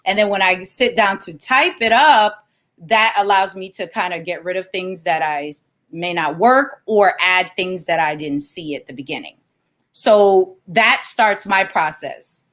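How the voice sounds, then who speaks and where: A woman's voice: mid-range voice, fairly bright tone. A woman, a children's author, reading aloud and explaining things as an interview guest.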